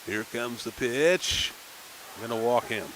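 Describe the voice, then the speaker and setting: A man's voice: slow, a little hiss or hum, low-quality recording. Folksy small-town baseball radio announcer man. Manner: relaxed folksy chatter between pitches, rising excitement on big plays. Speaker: play-by-play announcer. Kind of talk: local radio baseball commentary.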